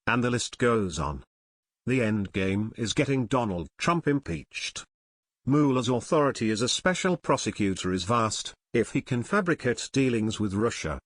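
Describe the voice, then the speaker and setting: A man's voice: mid-range voice, a bit bright, dry recording, clean studio sound. A man reading scripted political commentary as a news narrator, with a dramatic, insinuating delivery.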